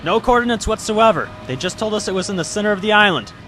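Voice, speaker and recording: A man's voice: sits high, lively intonation, quick pace. A man playing an eager, deferential army private in an amateur wartime radio drama. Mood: urgent, deferential. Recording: noisy amateur recording.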